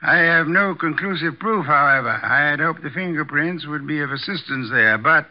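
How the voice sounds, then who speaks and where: An actor playing an imperious, erudite armchair detective with formal, deliberate diction, in 1950s radio drama style. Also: male voice, on the high side, lively intonation, lightly acted. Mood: self-assured. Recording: dull vintage 1950s radio recording.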